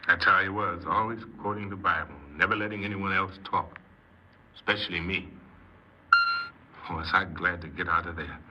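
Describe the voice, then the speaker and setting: A man's voice: sits low, steady tone, moderate pace, pauses often, natural voice. An actor playing a bitter, downcast young American ex-convict recounting his life plainly in a 1950s radio drama. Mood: glum, resentful. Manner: plain-spoken, clipped, glum. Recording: noisy, muffled 1950s radio recording.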